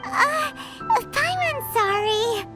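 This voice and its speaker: A woman voicing a tiny, cheeky, blunt fairy companion with a squeaky childlike voice in a video game. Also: expressive, extremely slow, exaggerated voice. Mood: sheepish. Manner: squeaky, blunt, speaks in third person. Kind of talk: video game character dialogue. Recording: music clearly behind the voice.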